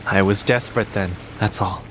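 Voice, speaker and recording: Low-voiced, formal, composed leader played by a man. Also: fairly even intonation, fairly bright tone, a little hiss or hum, close to an everyday voice. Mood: wry, reflective. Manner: formal, measured diction. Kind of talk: video game English dub acting.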